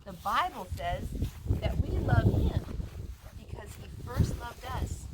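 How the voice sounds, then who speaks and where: A woman reading a children's story aloud in an expressive way, putting questions to her young listeners. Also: on the high side, slow, heavy background noise.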